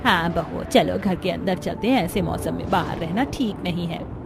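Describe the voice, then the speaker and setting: A woman's voice: lowish voice, normal speed, creaky, music in the background. A woman playing an earnest, practical village housewife in a scripted cartoon story. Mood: concerned. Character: village women, mother-in-law and daughters-in-law, earnest and practical.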